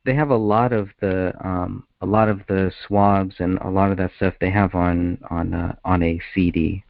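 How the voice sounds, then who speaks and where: A man talking casually in a group phone discussion, halting and often restarting his phrases. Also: low voice, fairly even intonation, creaky, no echo. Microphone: phone conference call. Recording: muffled, tinny phone conference line.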